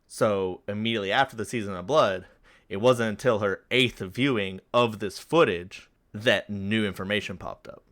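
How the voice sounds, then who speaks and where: A man's voice: fairly low pitch, moderate pace, fairly bright tone, no room sound, studio quality. A man co-hosting a podcast, explaining and narrating fictional lore. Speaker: podcast co-host.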